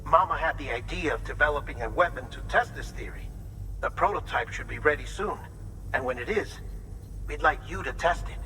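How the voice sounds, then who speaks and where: An actor playing an analytical researcher in a video game, briefing in precise, formal words. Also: male voice, on the high side, brisk, bright, forceful tone, faint music behind, rough recording. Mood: measured, intrigued. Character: brisk, analytical male researcher briefing a courier.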